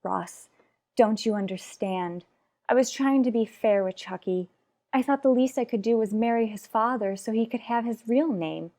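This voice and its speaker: Actress playing an anguished wife and mother, mellow voice. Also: on the high side, close to an everyday voice. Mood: regretful, yearning. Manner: earnest, measured, dramatic pauses. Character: anguished, unhappily married mother in a soap opera. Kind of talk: radio soap opera acting.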